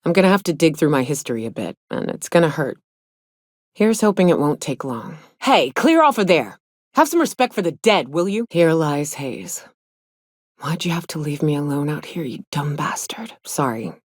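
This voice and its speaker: Low-voiced, gruff frontier huntswoman. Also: very animated, moderate pace, no room sound, studio quality. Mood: somber, resolute, then indignant, then grieving. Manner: gruff, folksy frontier phrasing, blunt. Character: tough, grieving, foul-mouthed huntswoman in a space frontier. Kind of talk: video game character dialogue.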